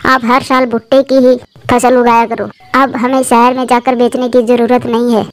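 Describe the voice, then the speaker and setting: A woman voicing a gentle, hopeful mother bird in a cartoon story, speaking in a caring, earnest way. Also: fairly high pitch, little pitch movement, moderate pace, no echo, natural voice. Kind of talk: Hindi cartoon moral story acting.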